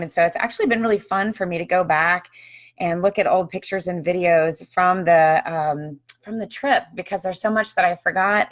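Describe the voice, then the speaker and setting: A woman hosting a casual live video, talking about her book in a chatty, upbeat way with frequent ums, her voice slightly hoarse. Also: on the low side. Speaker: author and marriage counselor hosting a live video. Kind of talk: casual live-stream chat. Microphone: phone or webcam on a live stream.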